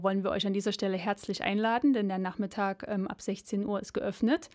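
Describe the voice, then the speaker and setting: A woman's voice: mid-range voice, a little mellow. A woman presenting a school radio show, making friendly announcements with a few filler sounds.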